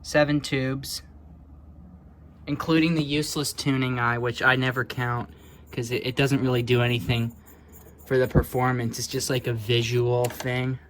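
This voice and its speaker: A man's voice: mid-range voice, little pitch movement, moderate pace, fairly bright tone, a little hiss or hum. A man giving a casual, unscripted show-and-tell as a hobbyist presenter, thinking aloud in an offhand, hesitant way. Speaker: hobbyist presenter showing vintage electronics.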